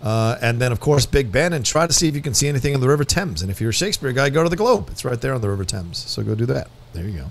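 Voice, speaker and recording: A man hosting a casual panel chat, joking and riffing with quick asides. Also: mid-range voice, very animated, gravelly. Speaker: host.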